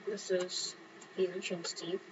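A woman's voice: on the low side, level tone, takes it slowly. Chatty young woman narrating gameplay, lo-fi. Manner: chatty, talks to herself and other players. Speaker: gamer narrating her play. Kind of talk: casual gameplay commentary. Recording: dull lo-fi mic with clear background hum.

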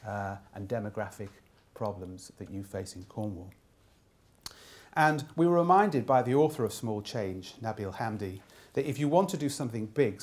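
A man in his fifties delivering a keynote speech, summing up from notes and often halting to repeat words. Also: medium pitch, darkish tone. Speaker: keynote speaker summing up a design conference. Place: indoor room with plain wall.

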